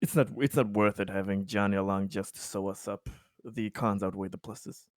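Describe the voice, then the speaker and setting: A man's voice: lowish voice, steady tone, normal speed, no room sound, clean studio sound. A man chatting casually and bluntly as a player in a tabletop game group.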